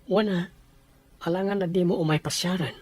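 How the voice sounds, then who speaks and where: A man's voice: sits high, fairly even intonation, moderate pace, slightly rough, dry recording. A man with an Ilocano accent playing a loving young fiancé who talks in an easygoing, tender way.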